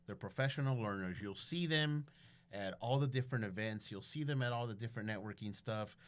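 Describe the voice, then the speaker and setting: A man with a fairly low voice talks as a podcast guest. He tells stories casually and bluntly, swears often, and slips into quoted dialogue as he goes. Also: fairly quick. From Guatemalan background. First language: bilingual English and Spanish. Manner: profane, blunt, animated retelling with quoted dialogue. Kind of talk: casual storytelling interview.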